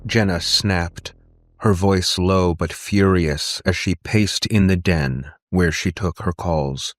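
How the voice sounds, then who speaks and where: A man's voice: low-pitched, slow. A man reading a scripted story as a narrator, in audiobook style.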